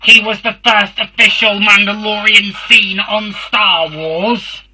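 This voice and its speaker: A performer voicing a Dalek, a robotic alien warrior hosting a fan review show, with a harsh filtered voice and halting, emphatic delivery. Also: medium pitch, steady tone, sharp tone, breathy edge, full character voice.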